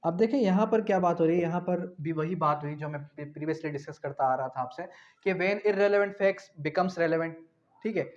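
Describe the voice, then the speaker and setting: Guy lecturing on law, kinda high voice, repeats key bits slowly, dull lo-fi audio. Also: a little mellow. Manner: didactic, repeats key phrases slowly, asks rhetorical questions. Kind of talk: explanatory law lecture, mixing in English terms. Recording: dull, muffled lo-fi recording.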